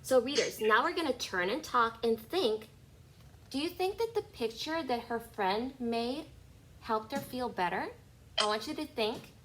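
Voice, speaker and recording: A woman kindergarten teacher reading a picture book aloud to young children, expressive and instructive, speaking directly to her listeners on an online class call. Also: a little high, rough recording.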